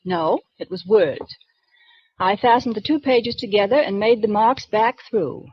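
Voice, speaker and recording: An actress playing a prim, reserved librarian who speaks in a precise, proper way, in 1940s radio acting. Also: female voice, medium pitch, measured pace, breathy edge, no room sound. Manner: precise, proper, rule-bound speech. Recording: dull dry 1940s radio broadcast recording.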